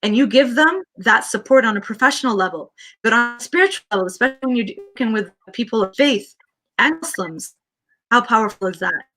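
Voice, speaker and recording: A woman with a Canadian accent, a counselor answering interview questions in an earnest, quick storytelling manner with frequent restarts. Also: fairly high pitch, lively intonation, sharp tone, poor audio.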